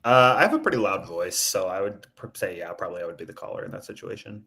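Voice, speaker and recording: A man's voice: low voice, expressive, quick pace, creaky, pushed and bright. A man on a podcast as a guest, talking casually and hesitantly with lots of fillers. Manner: hesitant, many fillers and restarts. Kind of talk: casual chat.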